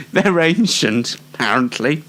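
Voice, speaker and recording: Casting: British man, 20, solo show host, dry and rambling, with swooping intonation and slow delivery. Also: on the high side, animated, a bit bright. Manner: dry, tongue-in-cheek, rambling. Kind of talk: informal solo show presenting.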